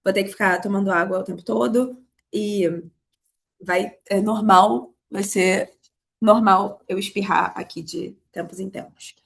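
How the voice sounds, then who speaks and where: A Brazilian woman from Rio with a Carioca accent, hoarse from a cold, teaching a live online class on money, talking straight to her students. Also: mid-range voice, fairly even intonation, a little slow. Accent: Carioca Brazilian Portuguese. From Rio de Janeiro, Brazil. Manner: explanatory, addresses students directly. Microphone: video call.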